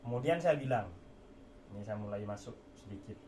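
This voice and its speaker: A man's voice: lowish voice, lively intonation, brisk, mellow. Man, religious teacher lecturing informally, repeats key points, rhetorical questions, reverberant room, slight background noise. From Indonesia. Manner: explains with repetition and rhetorical questions.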